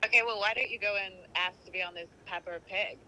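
A woman receptionist chats casually on a phone call. Her voice is mid-pitched and distinctly bright, and she is polite with some hesitation. There is slight background noise. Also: quick pace.

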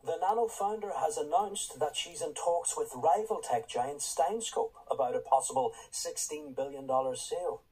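A man's voice: fairly high pitch, normal speed, hardly any pauses, warm tone, breathy edge, rough recording. An actor playing a formal male radio news reader in a drama, delivering a scripted bulletin.

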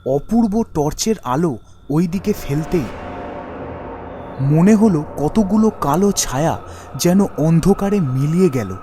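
A man narrating a scripted ghost story in a dramatic, deliberate way. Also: a little high, lively intonation, warm tone. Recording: music behind the voice.

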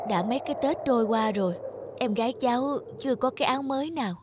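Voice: mid-range voice, fast talker, noisy background, natural voice. Earnest, polite young male flower seller, acted.

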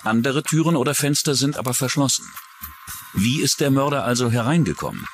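A man's voice: medium pitch, animated, brisk. A man narrating a scripted true-crime documentary as its narrator. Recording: music bed under the voice. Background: music.

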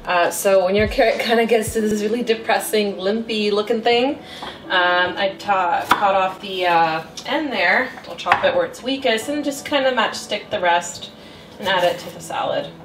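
A woman's voice: mid-range voice, normal speed, fairly bright tone. Chatty woman in an echoey kitchen. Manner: chatty, self-deprecating, thinking aloud with fillers. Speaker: cooking vlogger. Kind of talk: casual cooking vlog. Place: home kitchen, indoors. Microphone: camera mic from across the kitchen. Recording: roomy kitchen, distant mic, music behind. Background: background music.

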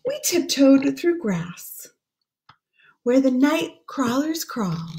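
Female, 30s, children's read-aloud: gentle, very unhurried delivery, voice a touch high. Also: big pitch swings. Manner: gentle, explaining pictures between read lines. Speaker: pastor reading a picture book. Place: small room at home.

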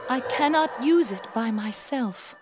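A gentle formal young genie. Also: female voice, fairly high pitch, animated, a little slow. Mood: solemn. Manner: formal, earnest, old-fashioned speech. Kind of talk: video game cutscene voice acting. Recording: game music behind.

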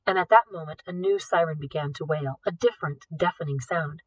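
A woman narrating a novel as an audiobook reader, with expressive delivery. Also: sits low, brisk, husky, dry recording, recorded from a distance, poor audio. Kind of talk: expressive scripted fiction narration.

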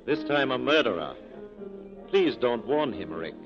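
An actor playing a wryly corrupt police captain with an urbane, teasing, courtly manner, in 1940s radio style. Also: male voice, medium pitch, very animated, a little slow, music in the background. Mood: suave, teasing. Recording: muffled 1940s radio broadcast recording.

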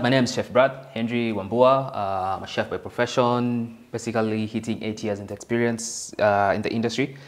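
A young Kenyan man working as a guest chef, demonstrating a dish in an indoor studio kitchen, easygoing and joking with frequent fillers, recorded on a lapel mic. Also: fairly low pitch. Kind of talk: cooking demonstration chat.

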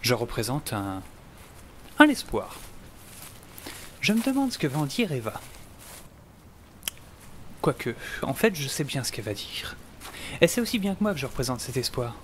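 A man's voice: medium pitch, big pitch swings, normal speed, long pauses, slight background noise, close to an everyday voice. A man playing an optimistic, self-important middle-aged scientist and survivor, narrating an audio drama in a wry, theatrical, musing way. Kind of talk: scripted first-person audio drama narration. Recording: music behind the voice. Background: music.

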